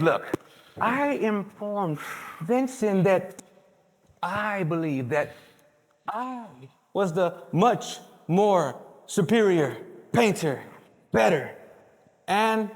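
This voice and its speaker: Guy acting cocky painter telling his side in a stage play, unhurried, very up and down, big echo. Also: high-pitched, heavily acted. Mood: smug, indignant. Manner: theatrical, conversational, addressing the audience. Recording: echoey theatre hall.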